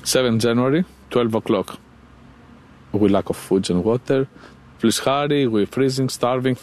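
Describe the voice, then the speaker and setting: A man speaking halting, English, reading messages aloud as a local aid volunteer. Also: mid-range voice, a little mellow.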